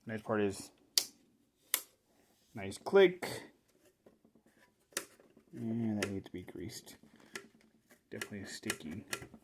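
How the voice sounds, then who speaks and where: A man's voice: fairly low pitch, slight background noise. Man musing aloud at his workbench. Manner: musing, thinking aloud to himself. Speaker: hobbyist repairer presenting a video. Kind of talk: casual unscripted workbench commentary.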